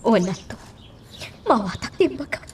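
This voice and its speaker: Woman playing emotional drama heroine, high voice, swooping intonation, loud background noise. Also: big pitch swings, normal speed. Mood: pleading. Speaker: actor. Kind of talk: radio drama acting. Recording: very noisy radio drama recording.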